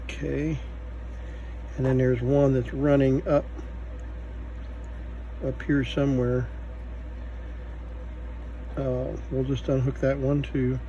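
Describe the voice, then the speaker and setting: A man giving unscripted hands-on repair commentary, halting and thinking aloud as he works. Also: medium pitch, flat delivery, mellow, lo-fi sound. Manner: halting, muttering thoughts aloud while working. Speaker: vintage electronics repair video host. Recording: faint background noise.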